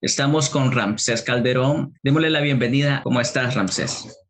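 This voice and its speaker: Hombre de voz áspera presentando. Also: male voice, medium pitch, moderate pace, fairly bright tone. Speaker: podcast host. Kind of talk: reading an introduction, then interviewing.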